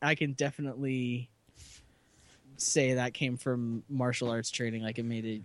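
A man's voice: medium pitch, flat delivery, moderate pace, no echo. A man co-hosting a casual podcast chat, rambling and self-deprecating, laughing often. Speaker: podcast co-host.

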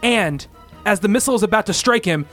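A man's voice: sits high, expressive, fast talker, fairly bright tone, faint music behind. A man narrating a comic-book radio play in a grandiose, theatrical style.

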